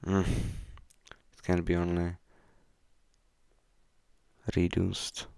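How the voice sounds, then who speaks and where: A man from the Czech Republic with a Czech accent, chatting casually on a live coding stream in halting, hesitant non-fluent English. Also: sits low, completely flat delivery, vocal fry, a little mellow, clear, resonant tone, no echo. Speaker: game developer live streamer. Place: indoors at a computer, keyboard clicks behind.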